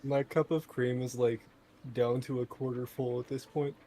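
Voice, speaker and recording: A man's voice: mid-range voice, little pitch movement, normal speed, background noise. A man casually describing his character's actions as a player in a tabletop roleplay game.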